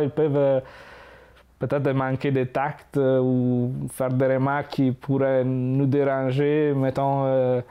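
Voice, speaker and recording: A man's voice: mid-range voice, clear, resonant tone, highs cut off. A man in his thirties from Italy with an Italian accent, speaking hesitantly with frequent fillers in an interview. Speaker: immigrant interviewee. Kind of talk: reflective interview talk.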